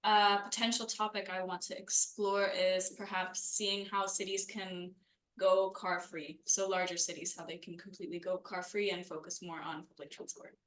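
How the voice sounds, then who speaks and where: A woman's voice: on the low side, flat delivery, moderate pace, fairly bright tone. A young woman from Hungary, a university student, introducing herself and her topic. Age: young adult. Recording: echoey classroom.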